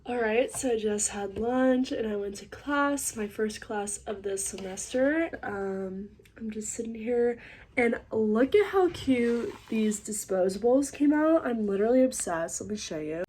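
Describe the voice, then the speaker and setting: A woman's voice: a little high, lively intonation, unhurried, darkish tone, a little hiss or hum. A young woman, a college student, chatting casually to camera in a vlog, a bit congested and using lots of fillers. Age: young adult. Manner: chatty, filler-heavy, upbeat.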